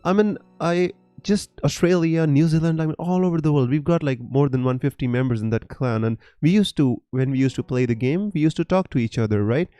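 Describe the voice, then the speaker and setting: A man's voice: a little high, fairly quick, hardly any pauses, warm tone, no echo. A young man from Punjab in North India, with an accent picked up from gamers around the world, chatting on a live stream and reading out viewer comments with many fillers and restarts. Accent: mixed international English, picked up online. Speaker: fantasy football streamer.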